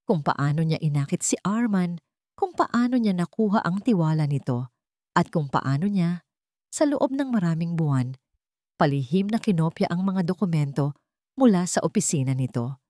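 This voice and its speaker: A woman's voice: on the low side, sing-song, normal speed, mellow, no echo, clean studio sound. A woman reading a scripted story aloud as a dramatic narrator.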